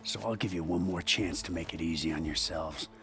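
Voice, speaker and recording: A man's voice: sits low, brisk, fairly bright tone. An actor playing an impatient, swaggering gang boss in a video game, sarcastic and folksy in his bluster. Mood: menacing sarcasm. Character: gruff, swaggering male scavenger gang boss, impatient bully. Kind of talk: video game cutscene acting. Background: music.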